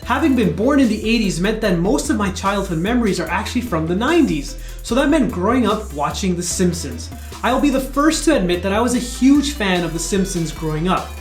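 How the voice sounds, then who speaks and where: A man in his thirties delivering scripted commentary as a video channel host. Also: sits high. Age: 30s. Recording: roomy echo with music behind. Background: background music.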